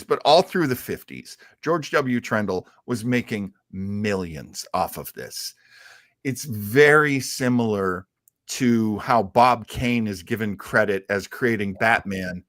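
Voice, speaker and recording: A man hosting a show, explaining in casual talk with a halting, thinking-aloud delivery. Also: mid-range voice, a bit bright, no room sound, studio quality. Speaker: show host. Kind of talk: casual explanatory talk about old radio heroes.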